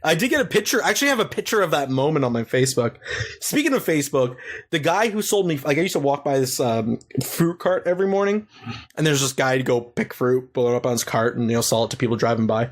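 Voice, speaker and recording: A man's voice: medium pitch, animated, fast. A young man telling a long crude story on a casual comedy podcast, rambling and full of swearing. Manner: crude, profane, rambling anecdotes. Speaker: podcast co-host.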